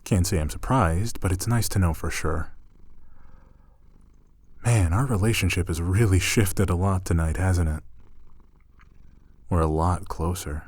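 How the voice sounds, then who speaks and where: A male actor plays an affectionate young-sounding roommate in an intimate ASMR roleplay. His voice is low and unhurried, tender with playful teasing. It is recorded very dry and close. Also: a little mellow, no room sound. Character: affectionate, teasing male roommate gently pampering a shy catgirl.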